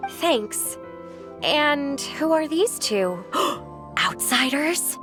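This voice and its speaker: A drawling, sardonic young agent. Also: female voice, high voice, very animated, very slow, music in the background, heavily acted. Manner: drawling, dry and dismissive. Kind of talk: video game voice acting.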